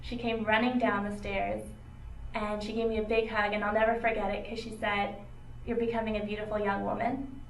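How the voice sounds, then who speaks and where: Woman, even flat tone, slow reflective storytelling, roomy echo, set back from the mic. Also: mid-range voice, distant mic. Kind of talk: personal interview recollection.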